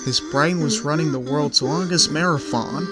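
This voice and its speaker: A man's voice: a little high, normal speed. A man narrating a scripted children's story in the third person. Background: background music.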